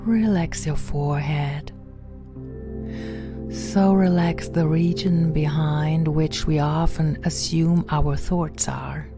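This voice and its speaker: A woman guiding a meditation in a gentle, soothing, unhurried manner, softly spoken and at times breathy. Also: sits low, steady tone. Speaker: meditation guide and podcast host. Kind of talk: guided meditation narration. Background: background music.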